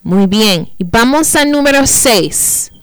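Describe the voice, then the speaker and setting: Slow, lively bilingual teacher woman. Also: a little high, no room sound. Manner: slow, clear, repeating each line in Spanish and English. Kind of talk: bilingual classroom lesson.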